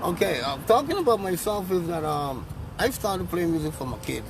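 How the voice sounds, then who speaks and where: Man, musician guest, halting and repetitive, gravelly tone, recorded on a noisy street. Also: high-pitched, very animated. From St. Maarten. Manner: halting, repeats words, encouraging. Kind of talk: street interview. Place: outdoors on a street. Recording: loud street noise. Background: traffic and passing planes.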